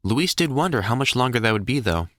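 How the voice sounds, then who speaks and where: Male narrator for illustrated story reading; lively, swinging intonation, measured delivery, dry recording. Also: mid-range voice, no room sound.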